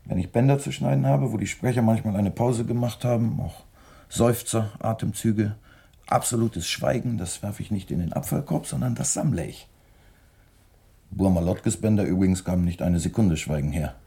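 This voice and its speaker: Casting: younger editor, weary and deadpan, lowish husky voice, quietly defiant, radio drama. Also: male voice, moderate pace, exaggerated voice. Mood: quiet, confessional. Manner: laconic, deadpan. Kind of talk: German radio play acting.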